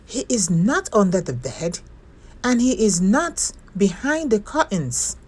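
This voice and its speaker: A woman's voice: medium pitch, big pitch swings, slow, darkish tone, husky, full character voice. A woman voicing a friendly, playful female duck babysitter from a picture book in a cheerful singsong. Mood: puzzled, amused. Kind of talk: picture-book character reading.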